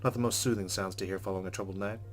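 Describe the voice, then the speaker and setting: Male let's player, lowish voice, measured and subdued reading of game narration, music bed underneath. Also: fairly even intonation. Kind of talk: reading visual novel narration aloud.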